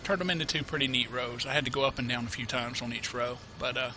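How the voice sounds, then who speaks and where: A man with a mid-range voice talks through a farming game tutorial in a loose, rambling way, full of "uh"s and restarts. He has a cold and sniffles now and then. His home desk mic carries a steady hiss of background noise. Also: medium pitch, fast, rough recording. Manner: rambling, self-correcting, many uh fillers. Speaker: gameplay tutorial host. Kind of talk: casual let's-play commentary.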